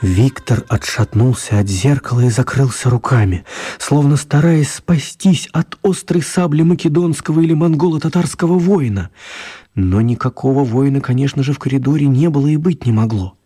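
A male narrator for a children's radio drama, with a slightly husky, mid-pitched voice. He tells the story theatrically, stretching words for dramatic emphasis. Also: moderate pace, warm tone.

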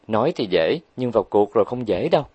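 A man's voice: fairly low pitch, lively intonation, fast talker, mellow, husky, dry recording, highs cut off. A man voicing a reserved, earnest young woman who works as a tutor, speaking cautiously and sincerely.

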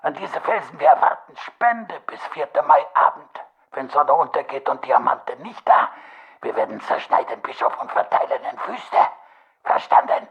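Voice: mid-range voice, big pitch swings, normal speed, creaky, slightly rough, full character voice. An actor playing a menacing foreign kidnapper in a radio play, blunt and broken in grammar with a foreign accent, over a phone. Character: menacing foreign freedom-fighter kidnapper making a ransom demand. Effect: phone filter. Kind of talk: German radio play acting. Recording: muffled recorded phone call.

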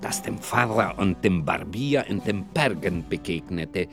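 A man's voice: deep voice, animated, a little slow. A man reciting verse and titles theatrically in a scripted radio production. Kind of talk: slow theatrical verse recitation and chapter announcement. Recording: plucked guitar music behind the voice.

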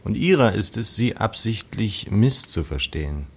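An actor playing a proud, reserved young Regency gentleman in a radio drama, with measured, formal and slightly hesitant phrasing. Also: fairly low pitch, sing-song. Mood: earnest, proud. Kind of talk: naturalistic German radio drama acting.

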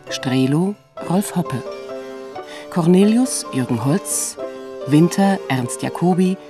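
A woman announcer formally reading radio drama credits, with music behind her. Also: fairly low pitch.

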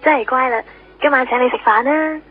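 A woman's voice: sits high, fairly quick, faint music behind. A spoiled girlfriend on the phone. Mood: coy. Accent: Hong Kong Cantonese. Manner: pampered, coy, demanding. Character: spoiled, fussy young girlfriend. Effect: telephone. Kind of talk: 1990s radio drama acting. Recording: tinny phone line on lo-fi radio.

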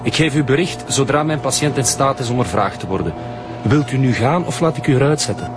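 A man's voice: medium pitch, fairly quick, over music, natural voice. A man playing a firm, protective hospital doctor with a Flemish accent, formal and stern, in a radio drama. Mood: stern, indignant.

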